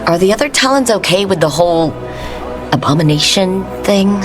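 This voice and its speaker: An actress playing a cool, wry, protective heroine in a fantasy video game, with a teasing manner. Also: female voice, mid-range voice, expressive, moderate pace, lightly acted. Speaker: player hero in a fantasy game. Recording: loud music behind the voice.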